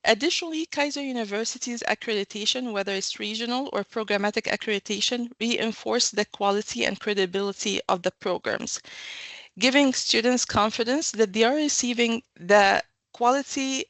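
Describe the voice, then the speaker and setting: Woman, gravelly and dry. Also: fairly high pitch, fairly bright tone, no room sound. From Egypt. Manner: measured, explanatory, with fillers and self-corrections. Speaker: university academic guest. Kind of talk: podcast interview answers.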